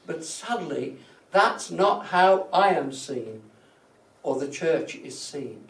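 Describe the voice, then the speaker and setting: A man preaching a reflective sermon from notes, speaking in a measured, deliberate way with emphatic phrases that trail off softly. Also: on the high side, lively intonation, creaky. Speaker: preacher, church minister. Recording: echoey room, distant microphone.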